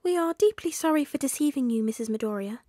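A woman voicing a small, earnest male animal character in an audiobook story, speaking formally and in measured phrases. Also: high voice, lively intonation, mellow, dry recording, clean studio sound, pitched above the performer's own voice. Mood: apologetic, grave.

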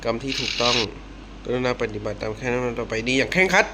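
A man's voice: medium pitch, lively intonation, fast talker, very noisy. A man hosting a reaction video in casual, slangy speech, exclaiming and reading text aloud. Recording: music playing loudly behind the voice. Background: eerie music from the video being watched.

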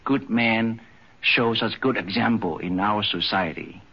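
A man who is not a native English speaker, giving a spoken tribute in a husky voice. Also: on the low side, unhurried. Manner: non-native grammar. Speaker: interviewee. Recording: dull 1950s radio recording.

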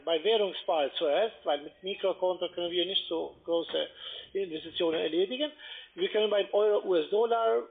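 A man with a foreign accent, speaking non-native German as he gives a telephone sales pitch. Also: vocal fry. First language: not German. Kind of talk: sales pitch on a phone call. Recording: muffled narrow-band phone line.

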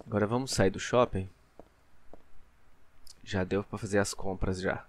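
A man's voice: lowish voice, fairly even intonation, unhurried. A young man with a Brazilian accent, giving casual commentary while playing a video game. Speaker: gaming YouTuber. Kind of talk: casual let's play commentary.

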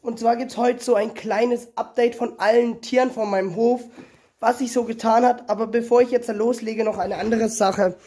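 A young man with a cold and a slightly hoarse voice, rambling and correcting himself as he talks viewers through his farmyard on a handheld phone. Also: very high voice, fairly even intonation, normal speed, a little mellow, no echo. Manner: rambling, self-correcting, addresses viewers directly. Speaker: small-animal farm vlogger. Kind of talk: casual walk-around vlog. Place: outdoors on a small farmyard. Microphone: handheld phone camera.

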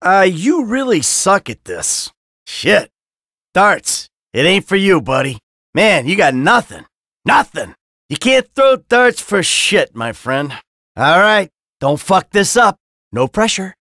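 A middle-aged American career criminal from a video game, jaded and quick to lose his temper. His voice has a gravelly, forceful edge and sits in a very dry recording. He sounds sardonic, foul-mouthed and exasperated. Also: sits high, very animated, no echo, full character voice. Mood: smug, taunting.

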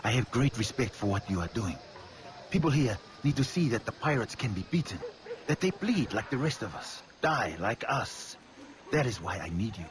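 A man's voice: on the low side, very animated, normal speed, a crowd in the background. A male actor playing an earnest, unsettlingly eager island villager in a video game, solemn and gushing by turns. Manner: solemn, turning gushing and creepy. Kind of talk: video game character acting.

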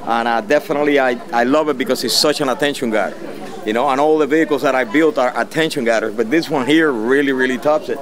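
A man's voice: mid-range voice, fast. A middle-aged man talking proudly about his own custom work at an outdoor car show, often adding "you know". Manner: proud, self-promoting, says "you know". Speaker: custom car builder and painter. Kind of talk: casual interview. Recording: outdoor camera audio with crowd chatter.

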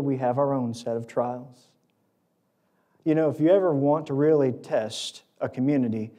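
A man's voice: on the high side, dark tone. A middle-aged American man preaching a sermon as a pastor, emphatic, building short repeated phrases at a lectern microphone. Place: auditorium stage at a lectern, indoors.

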